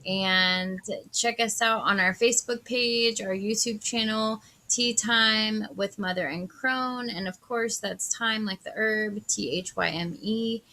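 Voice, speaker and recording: A woman's voice: medium pitch, steady tone, takes it slowly, few pauses, pushed and bright. A woman chatting casually in a friendly, agreeable way as co-host of a talk show. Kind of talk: casual chat.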